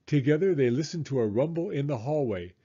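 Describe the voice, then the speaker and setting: A man reading a children's storybook as an expressive, dramatic narrator. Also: fairly high pitch, brisk, slightly rough, lo-fi sound.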